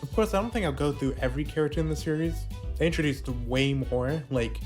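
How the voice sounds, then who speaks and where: A man's voice: a little high, animated, fast talker, a little mellow. A man narrating an informal video essay as commentary, with faint music behind his voice. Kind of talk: informal commentary on a puppet series.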